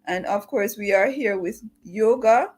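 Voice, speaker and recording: A woman's voice: medium pitch, a little slow, a little mellow, slightly rough, soft background music. A woman hosting a livestream, welcoming a guest and making announcements to viewers. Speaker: livestream host.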